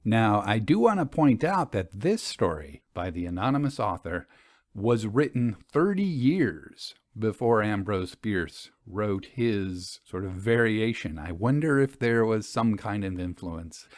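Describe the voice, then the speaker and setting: A man speaks as the host of a storytelling podcast. His voice sits a little low, and the sound is very dry, with no room around it. He comments casually, as one reader to another. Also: lively intonation, a little slow, a little mellow, no room sound.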